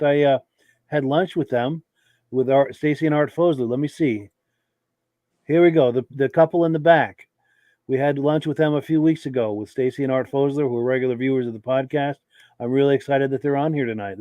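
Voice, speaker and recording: A man hosting a live podcast who has a cold and sounds hoarse, reading out viewer comments with short, easygoing reactions. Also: mid-range voice, no echo. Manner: relaxed, reads comments aloud with brief reactions. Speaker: podcast host.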